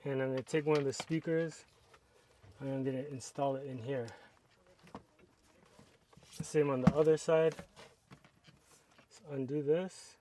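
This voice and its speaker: A man talks through a hands-on install in an easy, unhurried way, narrating each step as he goes. His pitch sits in a middle range. There is a faint hiss of noise behind him. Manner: relaxed, step-by-step explaining while working with hands. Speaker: product reviewer. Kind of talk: casual how-to narration.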